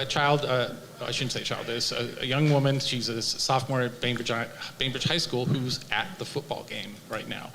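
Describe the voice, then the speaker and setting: A man who sits on the city council, speaking at a candidate forum with frequent pauses and restarts. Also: medium pitch, fairly bright tone, a little hiss or hum. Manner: hesitant, many uhs and restarts. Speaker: incumbent city council member. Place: senior community center.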